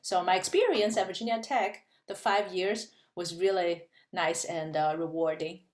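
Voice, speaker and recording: A woman's voice: fairly low pitch, moderate pace. Thoughtful woman, echoey room. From China. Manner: thoughtful, explanatory, with many fillers. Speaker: physics professor, guest. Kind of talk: casual interview chat.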